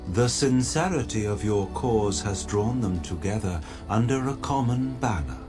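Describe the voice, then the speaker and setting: A dignified, solemn leader. His low voice moves expressively through formal, oratorical phrases, game dialogue set over clear music. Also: lively intonation, a little slow. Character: solemn, dignified male leader pledging military aid.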